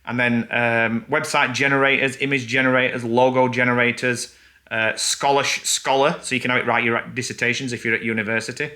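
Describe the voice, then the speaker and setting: A man's voice: mid-range voice, level tone, brisk, pushed and bright. A man co-hosting a vlog, chatting casually and enthusiastically to camera with plenty of fillers. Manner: rambling, enthusiastic, many fillers. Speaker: vlog co-host.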